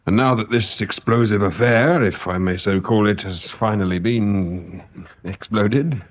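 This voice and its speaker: An actor playing a courteous, shrewd, dry-witted police detective in a radio drama, speaking formally with measured understatement. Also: male voice, on the low side, natural voice. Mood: dry, composed.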